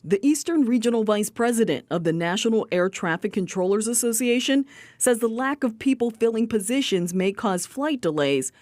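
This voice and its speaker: A woman's voice: medium pitch, a little mellow, no echo. A woman with an American accent reading scripted broadcast news narration as a TV reporter.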